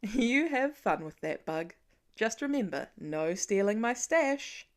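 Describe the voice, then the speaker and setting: A woman's voice: mid-range voice, very animated, takes it slowly. A woman voicing a teasing, competitive mother with a dry, playful manner in a story reading. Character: teasing, deadpan mother who loves to win.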